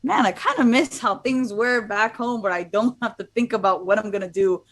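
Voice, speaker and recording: A woman's voice: mid-range voice, fairly quick, a bit bright. A young woman from Miami, a student abroad, chatting casually as a guest and rambling with many fillers. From Miami, USA. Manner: rambling, heavy use of "like". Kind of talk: casual chat.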